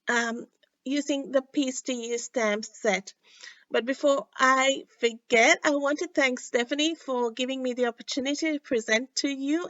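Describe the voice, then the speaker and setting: A middle-aged woman from Sri Lanka demonstrating cardmaking in an unhurried tutorial, with frequent ums and uhs. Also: fairly high pitch, a bit bright, no room sound, highs cut off. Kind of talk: casual craft tutorial.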